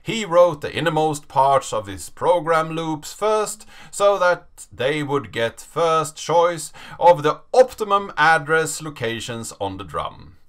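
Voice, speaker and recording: A man in his thirties giving a dramatic reading of a written story with deliberate, emphatic phrasing. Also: fairly high pitch, sing-song. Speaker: narrator reading a story aloud.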